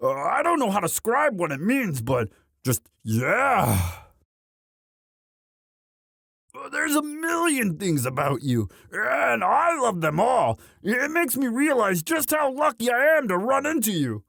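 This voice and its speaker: Orc boyfriend, male performer: sheepish, devoted muscle, halting unhurried delivery, very dry close sound, romantic audio drama. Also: very high-pitched, wildly swooping pitch, creaky, fairly bright tone, no room sound, full character voice. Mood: tender, smitten. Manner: halting, sheepish, stammering with many uhs. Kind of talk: romantic roleplay audio monologue.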